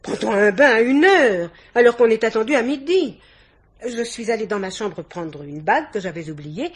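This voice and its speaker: An assertive society hostess in a vintage radio mystery. Her voice is raised in pitch with a breathy grain. She is chatty, haughty and sure of herself. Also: expressive, normal speed. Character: assertive society hostess, a young woman's aunt. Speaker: actor.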